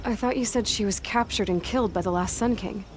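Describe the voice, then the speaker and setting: An actress playing a young, curious and self-assured huntress in a video game, blunt and probing. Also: female voice, mid-range voice, fairly quick, a little hiss or hum, close to an everyday voice. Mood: guarded. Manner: direct, probing. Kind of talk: naturalistic video game dialogue acting.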